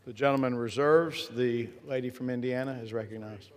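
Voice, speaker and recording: Man, mid-pitched voice, formal procedural announcements, long chamber reverb. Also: steady tone, normal speed. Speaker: presiding officer.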